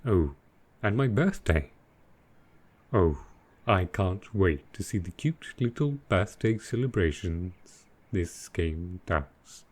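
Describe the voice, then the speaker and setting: A man voices a dragon boyfriend in an intimate audio roleplay. He is very low and unhurried, thinking aloud with doting, innocent earnestness. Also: lively intonation, slightly rough, full character voice. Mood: curious, delighted. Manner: slow, musing, softly doting, naive. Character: gentle, earnest male dragon boyfriend, new to technology.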